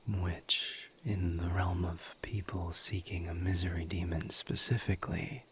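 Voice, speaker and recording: A man voicing a gentle, patient misery demon in a breathy, intimate whisper, halting and solemn, for an audio roleplay. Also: sits low, flat delivery, slight background noise. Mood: reserved, candid. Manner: slow, deliberate, halting with long pauses.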